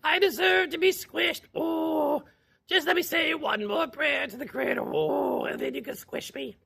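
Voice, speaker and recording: A man voicing a small, cheeky female chipmunk with a squeaky, taunting voice in a told story. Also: unhurried, vocal fry, bright, forceful tone, exaggerated voice. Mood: pleading. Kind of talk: oral storytelling.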